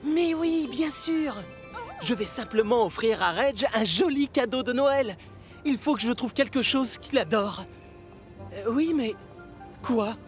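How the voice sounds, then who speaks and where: An actor voicing an eager, kind-hearted young male steam locomotive in a French cartoon dub, with an earnest, excitable manner. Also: mid-range voice, unhurried, faint music behind, lightly acted. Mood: hopeful.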